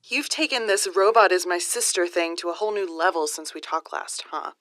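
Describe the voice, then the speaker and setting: A woman's voice: on the low side, a little fast, a bit bright, dry recording, studio quality, natural voice. A woman playing a sardonic, no-nonsense mercenary's wife in a cyberpunk audio drama, brusque and sarcastic. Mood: exasperated.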